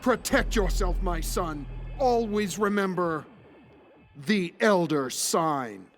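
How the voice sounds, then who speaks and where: A man's voice: high-pitched, animated, takes it slowly, over music, voice pushed up, heavily acted. A man playing a solemn father who delivers grave, theatrical warnings of doom in an audio drama. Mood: ominous, solemn. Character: solemn father prophesying doom to his son.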